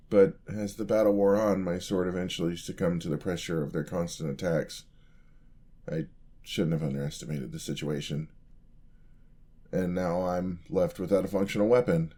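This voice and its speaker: Man acting a bashful young paladin, mellow and low. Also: husky. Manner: hesitant, bashful, polite stammering. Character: clumsy, charming, earnest young paladin apprentice. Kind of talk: fantasy ASMR roleplay acting.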